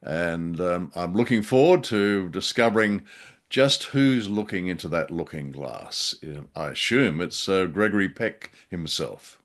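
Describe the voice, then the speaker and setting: A man's voice: low-pitched, lively intonation, measured pace. A male podcast host chatting casually and hesitantly before a radio play. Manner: hesitant, with many ums. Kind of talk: casual chat.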